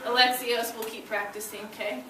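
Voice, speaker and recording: A woman with a high voice leads a read-aloud lesson for young children as their schoolteacher. She instructs and prompts them to respond. The recording is distant from the microphone, with clear room noise. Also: little pitch movement, fairly quick. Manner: instructive, prompting children to repeat after her. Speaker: elementary school teacher. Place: indoor school classroom. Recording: noisy echoey classroom, distant microphone.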